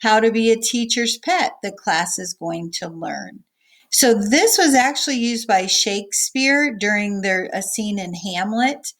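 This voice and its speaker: A woman's voice: medium pitch, lively intonation, unhurried, fairly bright tone. A woman teacher reading a picture book aloud and explaining it simply and casually to children, often checking that they follow. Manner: explains simply, checking in with okay and all right.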